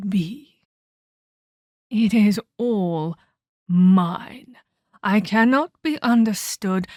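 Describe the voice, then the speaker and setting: Cruel ancient female vampire, played by a woman, for ASMR drama: very slow, close and dry, condescending menace. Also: mid-range voice, no echo. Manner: menacing, condescending, deliberate theatrical delivery with whispered emphasis. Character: cruel, domineering ancient female vampire scolding her captive pupil. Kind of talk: dramatic ASMR roleplay acting.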